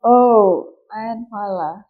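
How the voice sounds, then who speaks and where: A woman's voice: mid-range voice, takes it slowly. A young woman in her late teens from Malawi, answering interview questions shyly and hesitantly, with many fillers and restarts. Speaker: footballer guest. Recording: dull lo-fi recording.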